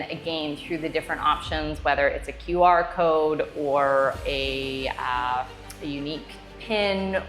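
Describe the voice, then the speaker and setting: Casting: woman, lower-pitched, conversational testimonial speaker, explanatory and emphatic, over background music. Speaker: customer giving a testimonial, strata council member. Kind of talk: casual interview testimonial.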